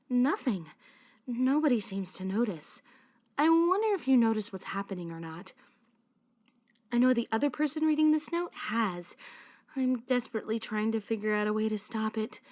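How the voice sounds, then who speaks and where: A woman plays a caring high school girl who leads her club in a fan-made visual novel. Her voice is high and very expressive in pitch. She has a protective temper with an anxious undercurrent. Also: natural voice.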